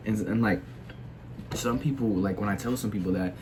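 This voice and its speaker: Low-voiced teen boy, sick and halting. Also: darkish tone, slight background noise, poor audio. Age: teens. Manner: hesitant, heavy with filler words and restarts. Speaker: video creator. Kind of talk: casual opinion monologue.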